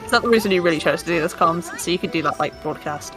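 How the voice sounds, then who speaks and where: A woman's voice: on the low side, fast talker, over music. A woman co-commentating on a speedrun stream with casual, dryly teasing banter. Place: live online stream commentary, game audio behind the voice.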